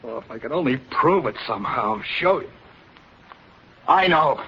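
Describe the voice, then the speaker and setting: A man's voice: mid-range voice, expressive, moderate pace, pushed and bright, slightly rough, exaggerated voice. An actor playing a desperate, agitated captive man, urgent and pleading, in 1940s radio style. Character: desperate, agitated male captive, ordinary young guy. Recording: dull, lo-fi 1940s radio recording.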